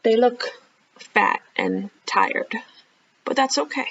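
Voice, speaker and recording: A woman's voice: on the high side, huge pitch swings, gravelly, breathy edge. Woman hosting children's storytime, slightly muffled. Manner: child-directed, asking questions and pausing for answers. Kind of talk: read-aloud storytime for young children.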